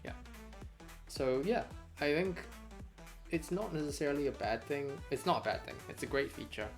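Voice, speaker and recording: A man's voice: fairly high pitch, expressive, moderate pace, soft background music. A man chatting casually and unscripted on a game development livestream, rambling as he thinks aloud and swearing freely.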